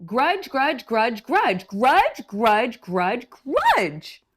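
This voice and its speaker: A woman's voice: a little high, huge pitch swings, very slow, breathy edge, full character voice. A woman voicing a snappy, grudge-holding sour grape in a picture book, complaining in an exaggerated huff. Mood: grumbling, resentful. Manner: exaggerated huffy complaining with growls and grunts.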